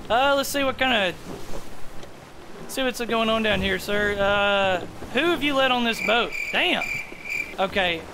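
A man's voice: high voice, lively intonation, unhurried, pauses often, noisy background. A young man from Alabama, streaming a pirate game with friends, teasing them and calling everyone sir, on a headset mic. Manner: teasing, mock-formal, calls everyone sir. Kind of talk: casual gaming chat with friends. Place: home streaming room.

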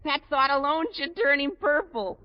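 An actor playing an eager, scheming teenage schoolboy with a gushing, mock-grandiose manner in an old-time radio sitcom. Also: male voice, very high-pitched, moderate pace. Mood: scheming glee. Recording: dull 1940s radio recording.

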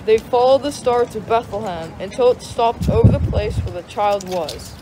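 A child reading the story of the Magi as narrator for a church nativity pageant. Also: female voice, fairly high pitch, normal speed, over music, poor audio.

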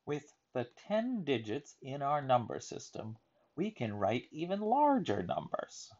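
A man reading a children's picture book aloud in a deliberate, emphatic teaching manner, adding explanatory asides. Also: mid-range voice, wildly swooping pitch, a little mellow, dull audio.

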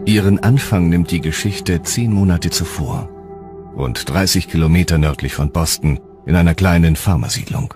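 A man narrating a historical documentary from a script in a measured, serious way. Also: low-pitched, darkish tone. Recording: music underneath.

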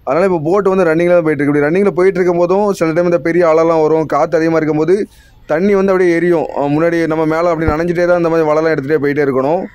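A man's voice: a little high, steady tone, racing, a little mellow, no room sound. A young adult man with a Tamil accent, a fisherman explaining his work to viewers in a repetitive, direct manner. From India. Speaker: fisherman vlogger. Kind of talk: explaining narration over footage.

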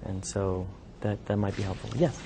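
A man's voice: lowish voice, steady tone, moderate pace, creaky, a crowd in the background. A man in his thirties from New York, a physician giving an informal talk to medical students in a classroom, speaking conversationally with many ums and restarts. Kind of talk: informal talk with questions from the audience. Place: classroom lab, indoors.

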